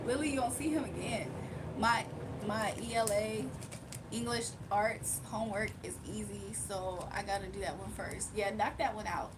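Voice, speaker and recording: A woman's voice: medium pitch, measured pace, background noise. A young woman streaming live, chatting casually with her viewers, laughing often and reading out chat messages.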